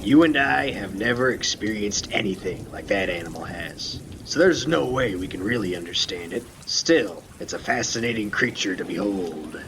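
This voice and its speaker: Gravelly, wry lighthouse keeper McDunn, played by a man. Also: lowish voice, animated, normal speed, hardly any pauses. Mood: wistful, fascinated. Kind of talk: amateur radio play acting. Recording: noisy rain and storm ambience.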